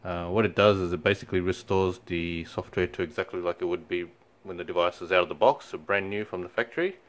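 A young man talking through a product how-to, easygoing and a little halting, full of ums and you-knows. His voice sits on the lower side. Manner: relaxed, many fillers. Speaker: company product presenter. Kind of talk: casual how-to walkthrough. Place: indoors in front of a TV screen.

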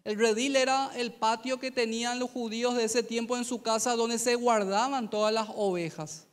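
A man's voice: extremely high pitch, smooth. A man in his thirties with a Paraguayan Spanish accent, preaching a youth sermon on stage into a handheld mic, telling the story in a conversational way and acting out the dialogue. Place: large stage in an auditorium. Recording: long hall reverb.

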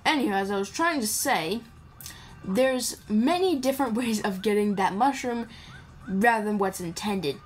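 A woman's voice: mid-range voice, normal speed, rough recording. Playful, rambling woman gamer over game music. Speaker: gamer playing and commenting. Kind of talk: casual gameplay commentary. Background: video game music.

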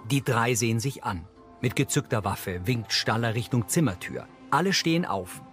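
A man narrates on-screen action as an audio describer, with a mid-pitched voice and a matter-of-fact delivery. Music plays clearly behind him. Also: lively intonation, moderate pace, a bit bright. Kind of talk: scripted audio description narration, present tense.

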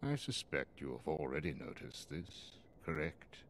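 An actor voicing a stern, wise old wizard master in a video game, speaking formally and gravely in measured phrases. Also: male voice, extremely low pitch, very animated, a little hiss or hum, full character voice. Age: older. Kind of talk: video game cutscene acting.

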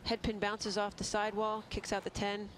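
A man's voice: high-pitched, completely flat delivery, normal speed, background noise. A man giving color commentary on a bowling broadcast, breaking down technique and each shot in an explanatory way. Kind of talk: analytical sports commentary.

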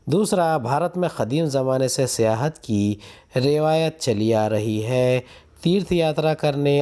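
An Indian man reads a school lesson aloud as a teacher. His medium-pitched voice is mellow and relaxed. He goes slowly and deliberately, setting each point apart with a pause. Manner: deliberate, instructional, pausing between numbered points. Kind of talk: reading textbook questions and answers aloud as a lesson.

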